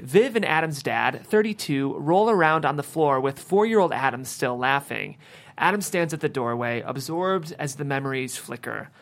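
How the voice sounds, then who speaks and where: A man's voice: fairly high pitch, gravelly, fairly bright tone. A man narrating the stage directions at a screenplay table read.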